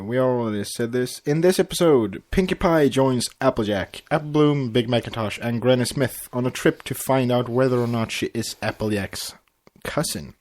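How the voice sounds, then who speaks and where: A man co-hosting a casual fan podcast, chatting and reading notes in a halting way, sometimes repeating himself. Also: medium pitch, a little mellow. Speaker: podcast co-host.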